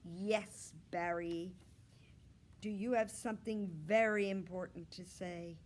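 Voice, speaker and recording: A woman voicing a patient, slightly weary schoolteacher in a storybook, with measured, polite classroom speech. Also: fairly low pitch, fairly even intonation.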